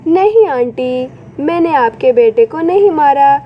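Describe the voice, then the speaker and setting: A woman's voice: high-pitched, lively intonation, measured pace, highs cut off. A woman voicing a small, plaintive young bird child in a children's cartoon. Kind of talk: children's cartoon story acting.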